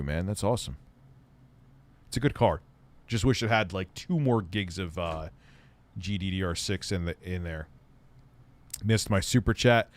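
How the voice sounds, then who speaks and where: Profane, rambling male livestream host. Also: lowish voice. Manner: profane, rambling, animated, laughs often. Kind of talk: casual livestream chat reading viewer comments.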